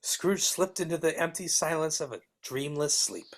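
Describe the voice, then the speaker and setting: Self-assured storyteller for a live reading woman performer, deep register, dryly exasperated with a sidekick. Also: lively intonation, moderate pace. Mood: solemn narrating.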